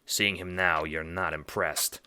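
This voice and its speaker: A man's voice: sits low, steady tone, a little slow, pushed and bright, slight background noise. A man reading scripted second-person narration for an audio drama, as its narrator.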